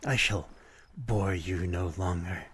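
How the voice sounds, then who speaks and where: Man acting as a deep, unhurried, melancholy vampire. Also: slight background noise. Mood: gentle farewell. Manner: formal, archaic, poetic phrasing, gentle and brooding. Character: lonely, courteous, melancholy male vampire stranger by a harbor. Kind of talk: ASMR roleplay, slow theatrical monologue.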